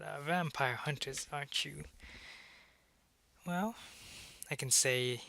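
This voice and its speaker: A man playing a suave, calculating vampire businessman in an ASMR roleplay, speaking in a measured, smug and politely menacing way. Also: mid-range voice, vocal fry, sharp tone, no echo. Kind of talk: ASMR roleplay audio drama.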